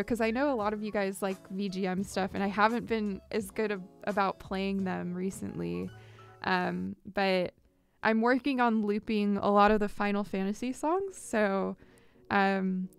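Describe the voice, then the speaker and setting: A woman's voice: medium pitch, gravelly, clear, resonant tone. A young woman chatting casually to viewers on her live music stream, talking close to a studio microphone in a small home studio. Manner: chatty, upbeat, squeals greetings at viewers. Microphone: large studio mic on boom, headphones.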